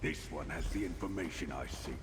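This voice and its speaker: A man's voice: rumbling low, fairly quick, heavy background noise. A man playing a cold, commanding villain and ruthless captor in a video game. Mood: cold, menacing. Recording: loud game ambience behind.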